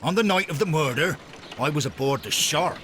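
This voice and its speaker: Proud, sharp-voiced sea captain. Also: male voice, fairly high pitch, lively intonation, a little fast, slight background noise. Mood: defiant. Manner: blustering, formal, challenging. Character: proud, indignant male Victorian sea captain, defiant. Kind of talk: video game cutscene acting.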